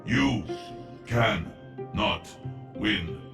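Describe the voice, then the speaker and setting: Huge game robot guardian, gravelly creaking voice, halting threats, distant and echoing under music. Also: male voice, low-pitched, heavily acted. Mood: ominous, threatening. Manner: halting word-by-word mechanical delivery, flatly threatening. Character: massive imposing ancient automaton guardian, cold and menacing.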